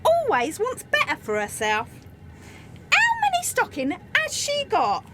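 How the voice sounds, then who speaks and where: A woman plays a gossip-loving wartime factory worker in a radio drama. She speaks slowly and knowingly, very high with huge swoops. There is clear noise and clicking on the recording. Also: huge pitch swings, exaggerated voice. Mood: gossipy. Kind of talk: amateur radio play acting.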